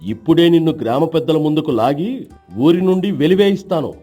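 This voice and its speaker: A man playing an emphatic, admonishing village husband in an acted moral story, with a husky voice. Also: on the high side, brisk, warm tone, soft background music, lightly acted. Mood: angry. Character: indignant village husband, teasing, morally lecturing.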